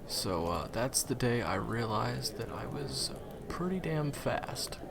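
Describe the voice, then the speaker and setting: A man playing a gentle, humble ex-hunter turned guide in an audio roleplay, speaking hesitantly and softly with frequent pauses. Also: medium pitch, very animated, creaky, heavy background noise, exaggerated voice. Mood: lighthearted, reminiscing. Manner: hesitant, soft-spoken, halting with pauses. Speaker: monster hunter's handler in a fan audio roleplay. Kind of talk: intimate conversational audio roleplay acting.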